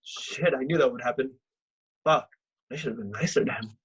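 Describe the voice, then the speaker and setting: A man's voice: medium pitch, fairly quick, a bit bright, breathy edge, muffled recording. A man playing a cocky, blunt, self-absorbed housemate in a comedy table read. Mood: exasperated.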